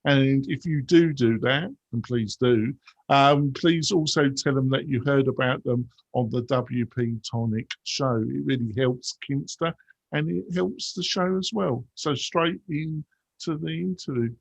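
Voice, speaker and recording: A man's voice: medium pitch, measured pace, gravelly, dry recording, rough recording. A man hosting a tech podcast, introducing guests and reading sponsor messages in an upbeat, announcer-like way. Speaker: podcast host.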